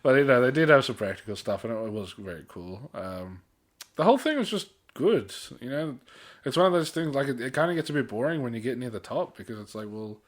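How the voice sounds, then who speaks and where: A man's voice: medium pitch, sing-song, fairly quick. A man co-hosting a podcast in a casual, slangy chat style with some mild swearing. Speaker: podcast co-host.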